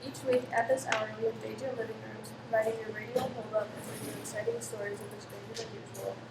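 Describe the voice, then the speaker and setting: A woman reads scripted old-time radio announcements at a quick pace. Her voice is fairly high, and the room is echoey with clear noise. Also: little pitch movement.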